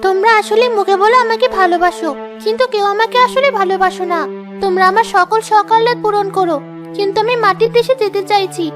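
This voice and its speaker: A very high-pitched young fairy princess from a children's cartoon. She is headstrong and pleading, a girlish voice over clear background music. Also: quick pace. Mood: pleading, insistent.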